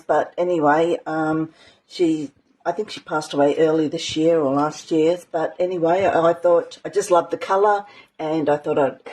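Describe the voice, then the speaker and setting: Woman, art journaling teacher, mellow low voice, croaky, chatty and rambling. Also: poor audio. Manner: chatty, rambling with many ums. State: croaky, clearing her throat. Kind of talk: casual live craft demonstration.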